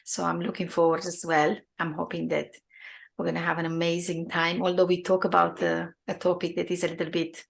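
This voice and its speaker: A woman hosts an interview podcast with a low, gravelly voice. She asks her questions slowly and deliberately, with a few fillers along the way. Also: completely flat delivery. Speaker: podcast host.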